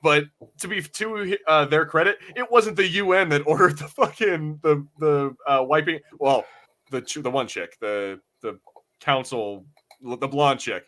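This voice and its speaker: A man co-hosting a podcast, talking in a casual, slangy, animated way with some swearing. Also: fairly high pitch, unhurried. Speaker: podcast host discussing a show. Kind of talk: casual group discussion with swearing.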